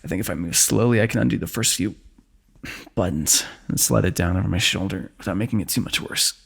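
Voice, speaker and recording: A man playing a low, gravelly, weary fantasy warrior. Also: exaggerated voice. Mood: calm, wry. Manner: measured, dry teasing, formal fantasy diction. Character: weary, wry fantasy warrior plotting against a kingdom. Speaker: ASMR voice actor. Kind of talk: ASMR fantasy roleplay, one-sided dialogue.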